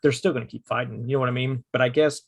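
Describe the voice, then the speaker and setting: Chatty host who checks in often. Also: male voice, mid-range voice, fairly even intonation, creaky. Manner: conversational, repeats "okay?" to check in, halting restarts. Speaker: podcast host. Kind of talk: casual conversation.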